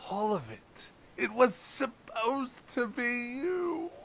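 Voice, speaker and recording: An actor playing a broken, remorseful clown villain in an audio drama, halting and somber, with a creaky, strained voice. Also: male voice, very high-pitched, animated, a bit bright, heavily acted.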